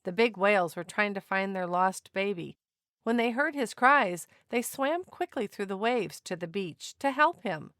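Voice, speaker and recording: Expressive woman reading a children's story, very lively pitch. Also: a little slow, no room sound, clean studio sound. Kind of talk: children's picture book read-aloud narration.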